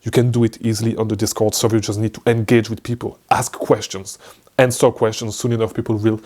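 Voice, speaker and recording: A man's voice: on the low side, little pitch movement, fast talker, darkish tone. French-accented Belgian man freestyling to camera. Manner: rambling, restarts and fillers, swears casually. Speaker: online business coach. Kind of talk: casual freestyle talk to camera.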